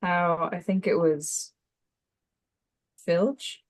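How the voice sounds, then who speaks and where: Woman, slightly low pitch, student, casual thoughtful talk, tentative phrasing. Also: steady tone, very slow. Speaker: voice acting student. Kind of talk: casual chat, thoughtful answers.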